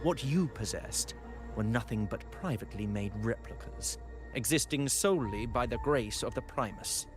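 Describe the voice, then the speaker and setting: A man's voice: mid-range voice, animated, normal speed, close to an everyday voice. A man playing a pompous, menacing religious enforcer. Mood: stern, condescending. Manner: pompous, formal, sanctimonious. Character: haughty, menacing male religious order enforcer. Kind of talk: scripted game voice acting. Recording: background music.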